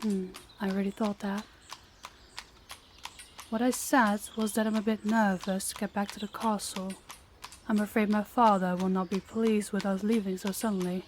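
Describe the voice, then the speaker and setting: A woman's voice: medium pitch, little pitch movement, moderate pace, darkish tone, slight background noise, close to an everyday voice. A woman playing a young princess, a king's daughter, in an intimate audio roleplay. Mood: attentive, gentle. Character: young rival princess, daughter of a stern king.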